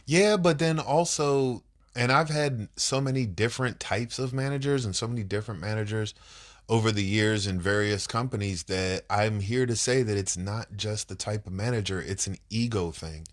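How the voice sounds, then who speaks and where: A congested man with a very deep, low voice talks through a cold, sounding nasal and stuffed up. He rambles and speaks bluntly and emphatically, co-hosting a casual podcast chat. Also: moderate pace. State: congested, under the weather. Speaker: podcast co-host.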